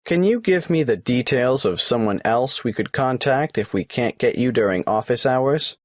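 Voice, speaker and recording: A man's voice: mid-range voice, lively intonation, normal speed, no echo, clean studio sound, lightly acted. A man playing a tax office clerk in a scripted exam dialogue, patiently asking form questions in a matter-of-fact way. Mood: businesslike. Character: polite adult male government clerk taking an application.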